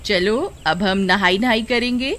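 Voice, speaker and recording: A woman's voice: medium pitch, unhurried, background noise, close to an everyday voice. A woman voicing a doting, melodramatic village wife in a moral-story cartoon, cooing and exclaiming. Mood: tender, doting. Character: doting, dramatic village wife who loves her dog.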